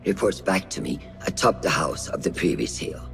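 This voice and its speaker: A man's voice: low voice, expressive, moderate pace, gravelly. An actor playing a grave, cautious and commanding male master of an order in a video game, solemn and authoritative. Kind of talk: cinematic video game acting. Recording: music behind the voice. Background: music.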